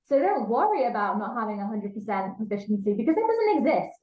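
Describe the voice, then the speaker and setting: A woman's voice: fairly high pitch, very animated, fast, darkish tone, reverberant. A woman with an English accent giving a language learning talk, explaining earnestly and repeating key points for emphasis. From England. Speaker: language teacher.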